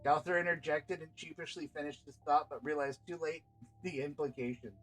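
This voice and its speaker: A man's voice: a little high, fairly quick, a bit bright, soft background music, poor audio. A man reading fantasy story narration as the host and narrator of a game.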